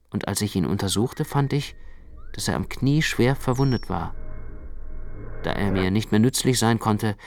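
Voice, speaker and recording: Gravelly, low-voiced male literary narrator. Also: a little hiss or hum. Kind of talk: literary narration in a radio production.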